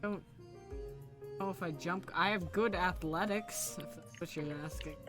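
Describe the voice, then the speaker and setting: A man chats casually as a player in a tabletop game. His voice is bright and sharp, with faint music behind it. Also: sits high, normal speed. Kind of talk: casual chat.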